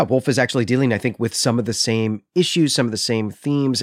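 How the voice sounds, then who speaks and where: A man's voice: medium pitch, no echo, studio quality. A man co-hosting a podcast, explaining and retelling a story in a conversational, lightly amused way. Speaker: podcast co-host. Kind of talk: conversational literary recap and commentary.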